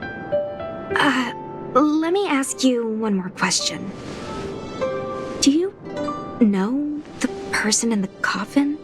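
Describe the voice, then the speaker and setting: Inquisitive, unhurried young swordswoman. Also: high-pitched, lively intonation. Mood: nosy, hesitant. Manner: blunt, informal, with hesitant stammers. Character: inquisitive young female swordswoman raised on battlefield lore. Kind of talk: scripted video game dialogue. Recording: clear background music under the voice. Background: game music.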